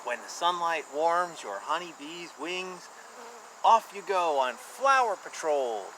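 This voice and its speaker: Guy w high bright voice reading a kids book out loud, explaining stuff simply, animated, some bg noise. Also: slow, low-quality recording. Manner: animated, simple explaining for children, makes buzzing sounds. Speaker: picture book reader and explainer. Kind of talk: children's book read-aloud with informal explanations.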